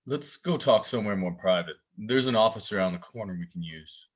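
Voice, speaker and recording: A man plays a businesslike police officer in a screenplay table read. His voice is fairly low and official in manner. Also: lively intonation, brisk. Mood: calm, official.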